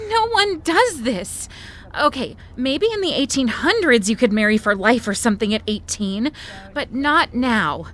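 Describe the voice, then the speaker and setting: An actress playing a distraught, dramatic young woman in an audio drama, with a halting, whiny delivery. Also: fairly high pitch, very animated, a bit bright. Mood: anxious. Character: distraught, melodramatic young woman panicking over a proposal.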